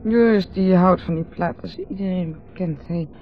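An actress with a Netherlands Dutch accent playing a dreamy, teasing wife who rambles and repeats herself in a radio drama. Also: female voice, fairly low pitch, moderate pace, a little hiss or hum, lightly acted. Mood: rambling, evasive. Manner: rambling, repetitive, musing. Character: dreamy, rambling, teasing wife, drinking under a full moon. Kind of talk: 1970s radio drama acting. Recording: dull old radio recording with music.

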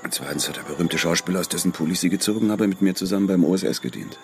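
An actor playing a cool, laconic war veteran in his thirties, terse and deadpan, in a radio drama. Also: low-pitched, rapid-fire, faint music behind. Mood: dry, laconic. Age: 30s.

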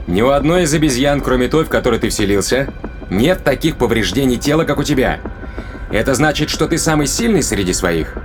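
A man's voice: mid-range voice, lively intonation, fairly quick, over music, close to an everyday voice. An actor playing a blunt, impatient male interrogator in an audio drama, probing and demanding in colloquial speech. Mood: probing, curious.

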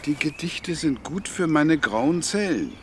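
A man's voice: fairly high pitch, animated, a little slow, very noisy. An actor in a radio drama playing a stubborn, dreamy widowed pensioner in his sixties, solemn in verse, hesitant and dry in talk. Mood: testy, stubborn. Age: 60s.

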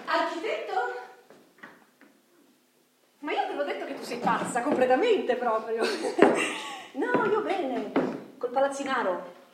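An actress playing a flirtatious, educated woman in her forties, excitable, mocking and bossy, in theatrical radio drama style. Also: high-pitched, takes it slowly, long pauses, exaggerated voice. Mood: agitated, confiding. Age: 40s. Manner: theatrical, exclamatory, teasing. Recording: very echoey room, distant mic, faint noise.

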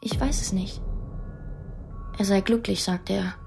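A woman's voice: mid-range voice, steady tone, unhurried, vocal fry, darkish tone, soft background music. An actress playing a guarded beggar woman who gives terse, reticent answers in a radio drama.

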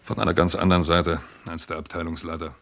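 An actor playing a confident informant in a radio crime drama, reporting in a clipped, matter-of-fact manner. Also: male voice, deep voice, level tone, quick pace, gravelly, fairly bright tone. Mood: matter-of-fact, convinced.

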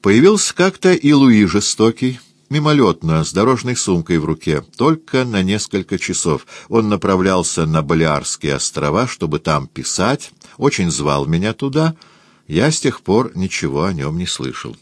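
A man narrating a first-person literary memoir as an audiobook, in a measured, clearly articulated reading that lifts at the start of phrases. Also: sits low, sing-song, no echo.

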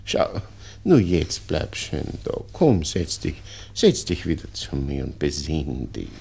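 An actor playing an anxious, doting old father with a Viennese accent in a radio drama, pleading haltingly and repeating himself. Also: very deep voice, very animated, creaky, mellow, slightly rough, heavily acted. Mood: anxious, tender. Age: older. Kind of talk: 1960s German-language radio drama acting.

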